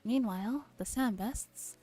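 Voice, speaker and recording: A woman streamer gently reading a visual novel aloud in a soft ASMR style, with relaxed casual asides. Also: a little high, a little slow, husky, poor audio. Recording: music behind the voice.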